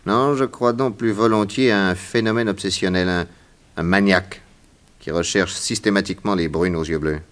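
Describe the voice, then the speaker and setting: A fairly low male voice. An actor plays a shrewd private detective in a radio serial, genial and self-assured, reasoning aloud in a conversational way. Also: steady tone, normal speed, natural voice. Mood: pondering, assured. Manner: conversational, analytical. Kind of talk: French radio drama acting.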